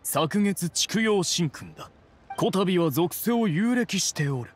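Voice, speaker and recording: Stuck-up ancient immortal, old-timey imperious speech, pretty lively pitch, anime game. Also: male voice, a little slow. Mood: haughty. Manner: pompous, archaic, imperious. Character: haughty, stern immortal adeptus in young man's guise.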